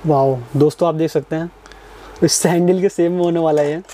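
Fast eager man touring a shop. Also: a little high, steady tone, warm tone, a little hiss or hum. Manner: eager, repeats prices to viewers. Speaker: market video host. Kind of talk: casual market walkthrough. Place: busy wholesale perfume shop.

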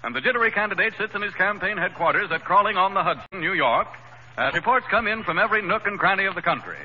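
A man's voice: sits high, expressive, fast talker, sharp tone, husky. A man announcing in a formal, polished 1930s radio style. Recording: muffled 1930s radio with hiss.

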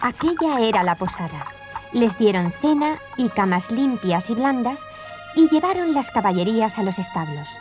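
Woman storyteller, children's tale, lively pitch, faint music. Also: normal speed. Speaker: narrator. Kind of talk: storybook narration.

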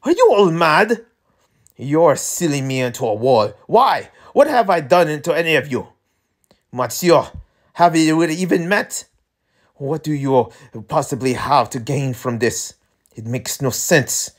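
A reader puts on a haughty gentleman held captive in a story game. The delivery is formal and theatrical, with lively, swinging pitch. He sounds exasperated and indignant. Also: a little slow. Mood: indignant, panicked.

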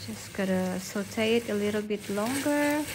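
A woman gives a home cooking voice-over, walking through each step at a slow, unhurried pace. Her voice sits at a middle pitch. Clear kitchen noise and frying sounds run behind her. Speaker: home cook vlogger. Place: home kitchen, food sizzling in pan.

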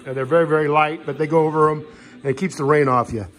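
Man casually talking, slightly higher-pitched voice. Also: steady tone, quick pace, fairly bright tone, faint music behind. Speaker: hiker showing gear. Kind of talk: casual unscripted gear walkthrough. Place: indoors in a home living room. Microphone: handheld phone camera, speaker behind it.

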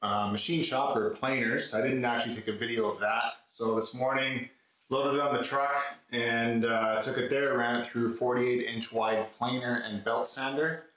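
A man's voice: medium pitch, steady tone, moderate pace, a bit bright. Man in an echoey garage workshop. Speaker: woodworking video host. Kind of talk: casual build walkthrough, explaining to camera. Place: concrete-floored garage workshop, indoors. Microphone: camera mic a few metres away. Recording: echoey garage, faint background noise.

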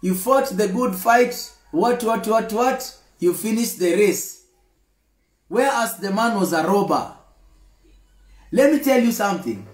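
High-voiced Kenyan preacher, echoey room. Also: male voice, animated, unhurried. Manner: emphatic, repetitive, gesturing preacher. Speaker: pastor. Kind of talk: livestreamed daily devotional sermon. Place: indoor room with screen and flowers.

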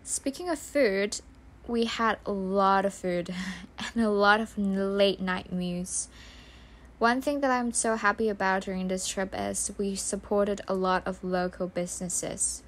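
Slow, soft-spoken young woman narrating. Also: mid-range voice. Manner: soft, slow and unhurried, non-native phrasing. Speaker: vlogger. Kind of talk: reflective voice-over narration. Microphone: studio voice-over mic. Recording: music bed underneath.

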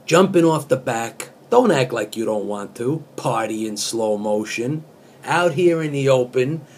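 A man in his fifties speaks slowly and deliberately in a medium-pitched voice, like a mock-serious poetry reading with casual asides. He is close to a webcam in a small room, with some faint noise behind him. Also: expressive, darkish tone. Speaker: comic host reading song lyrics as poetry. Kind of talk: dramatic spoken recitation of pop lyrics with casual asides.

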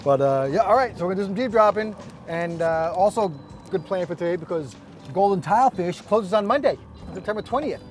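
A man's voice: high voice, animated, fairly quick, noisy background. A middle-aged man chatting casually to camera on a fishing boat at sea, with a joking, teasing manner. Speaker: fishing vlog co-host. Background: music.

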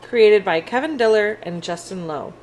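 A woman in her thirties talks to children in an encouraging, casual way. Her intonation is very lively, and she is recorded at home with noticeable room noise. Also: medium pitch, moderate pace, low-quality recording. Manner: expressive, encouraging, addressing children. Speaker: read-aloud presenter. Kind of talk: casual children's read-aloud introduction. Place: small furnished room at home.

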